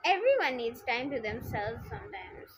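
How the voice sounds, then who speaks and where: A woman's voice: fairly high pitch, big pitch swings, moderate pace, noisy background. A woman livestreaming a game with casual reaction chat and playful, exasperated griping.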